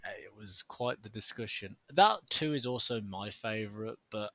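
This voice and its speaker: A man's voice: on the low side, expressive, normal speed, gravelly, bright, forceful tone, no echo. A man co-hosting a podcast, theatrical, jokey and sarcastic in casual chat. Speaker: podcast co-host.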